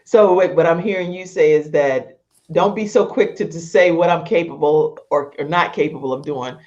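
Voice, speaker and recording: Woman talk show host, kinda low voice, effusive n emphatic, dull lo-fi call audio. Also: normal speed, mellow. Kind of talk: animated conversational show hosting.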